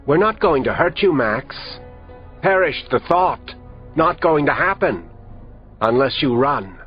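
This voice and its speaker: Cold villain doctor, calm authoritative threat, husky, lo-fi, faint music. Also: male voice, on the high side, measured pace, heavily acted. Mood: cold menace. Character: menacing male villain doctor, cold and commanding. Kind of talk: audiobook character reading.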